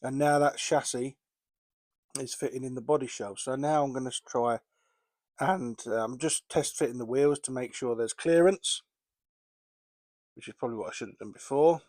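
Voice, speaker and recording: A man's voice: mid-range voice, moderate pace, long pauses, a little mellow, no room sound, studio quality. Chatty man at a model workbench. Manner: chatty, practical, talks through each step. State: recovering from a cold. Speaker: model railway hobbyist. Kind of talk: casual workbench narration.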